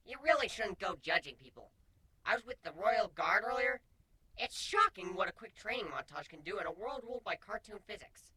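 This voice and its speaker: A man voicing a smug, talkative and excitable male insect-like changeling in a fan story reading. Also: sits high, brisk, voice pushed up, heavily acted, poor audio. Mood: casual boasting. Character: smug, cheeky male changeling bug creature.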